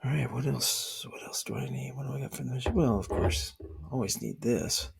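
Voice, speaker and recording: A man doing a soft-spoken ASMR roleplay, murmuring and often dropping into a whisper, pausing as he thinks aloud. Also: mid-range voice, gravelly, a little hiss or hum. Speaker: ASMR video creator.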